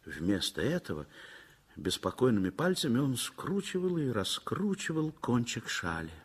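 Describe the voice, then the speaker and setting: A man narrating a 1960s radio play in an expressive, lyrical storytelling manner. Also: medium pitch, moderate pace, breathy edge. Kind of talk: dramatic literary narration. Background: music.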